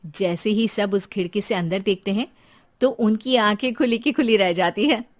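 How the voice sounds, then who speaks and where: A woman's voice: medium pitch, moderate pace. A woman reading scripted narration for a cartoon story.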